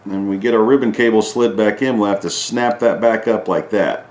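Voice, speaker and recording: A man giving a casual hands-on repair walkthrough, halting as he thinks aloud while he works. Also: fairly low pitch, darkish tone. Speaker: DIY repair video host. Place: indoors at a home kitchen countertop. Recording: echoey hard-surfaced room.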